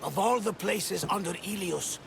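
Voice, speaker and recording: An actor playing a hearty, seasoned and loyal ship captain in a video game, with a gravelly voice. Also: male voice, high voice, measured pace, full character voice. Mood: puzzled, wary. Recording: noisy game audio with ambient background.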